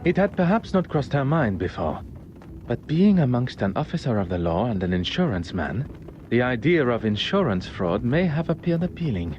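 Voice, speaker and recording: An actor playing a self-assured detective, reasoning to himself in formal, deliberate phrases in a mystery game. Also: male voice, medium pitch, very animated, a little mellow, muffled recording, slight background noise, lightly acted. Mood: quietly satisfied. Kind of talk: inner monologue in a mystery game.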